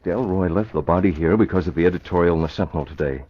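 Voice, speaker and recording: Low-voiced vigilante newsman, decisive and measured, vintage radio serial sound, muffled with light noise. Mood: firm, decisive. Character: resolute crime-fighting newspaper publisher, a grown man. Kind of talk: 1940s radio drama acting. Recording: muffled, noisy old radio recording.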